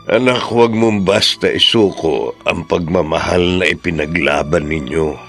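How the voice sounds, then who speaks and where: A man playing a gentle, wise older father in a Filipino radio drama, counselling earnestly in a measured, consoling way. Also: low-pitched, vocal fry, faint music behind.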